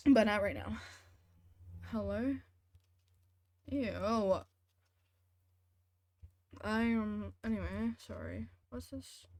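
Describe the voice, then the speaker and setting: Unhurried woman streaming a game, playfully sarcastic. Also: medium pitch, darkish tone, no room sound. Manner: sarcastic, playful, talks back to chat and game. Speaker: video game live streamer. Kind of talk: casual live commentary while playing.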